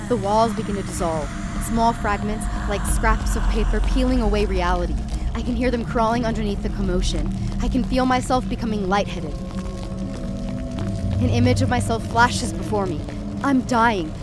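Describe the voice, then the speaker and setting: A woman's voice: mid-range voice, expressive, normal speed, over loud music, lightly acted. A woman playing a frightened, dazed young woman who narrates her nightmare in the first person, intense and dramatic. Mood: tense, dazed. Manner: intense, dramatic, swinging from hushed to urgent.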